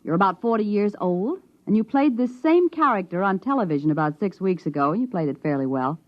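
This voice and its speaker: An actress playing a cool, businesslike young interviewer with clipped, controlled delivery in 1950s radio drama. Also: female voice, mid-range voice, animated, brisk, close to an everyday voice. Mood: cool, knowing. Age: young adult. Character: cool, brisk young woman interviewer, quietly menacing. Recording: muffled vintage radio recording.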